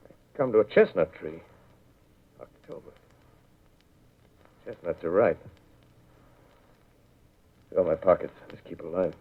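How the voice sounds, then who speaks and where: An actor playing a weary, educated survivor of an alien invasion, speaking solemnly and deliberately in 1930s radio drama style. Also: male voice, low voice, lively intonation, full character voice. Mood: bleak, reflective. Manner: solemn, deliberate, literary narration. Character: weary, solitary educated man wandering after Martian invasion. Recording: narrow, tinny 1938 radio broadcast recording.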